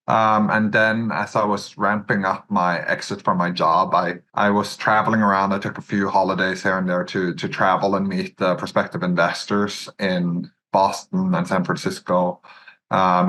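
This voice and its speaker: Deep-voiced Norwegian-accented man. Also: fairly bright tone, slight background noise. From Norway. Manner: measured storytelling with frequent "uh" and "um". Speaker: podcast guest, search fund entrepreneur. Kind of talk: relaxed interview answers.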